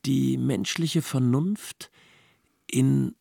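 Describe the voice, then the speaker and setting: An actor playing a courteous, sceptical humanist scholar in a radio drama, arguing in with an erudite, questioning manner. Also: male voice, mid-range voice, extremely slow, creaky, dark tone, no room sound, studio quality, heavily acted. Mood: ironic, challenging. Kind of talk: literary radio drama dialogue.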